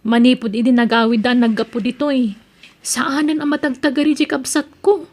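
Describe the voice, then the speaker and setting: A woman acts a meddling big sister in a family melodrama. She has a high voice. She talks in a chatty but pointed way, never shy with her opinion. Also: little pitch movement, moderate pace, darkish tone. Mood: gossipy, indignant. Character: outspoken older sister, meddling in her brother's marriage. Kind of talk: radio-style melodrama acting.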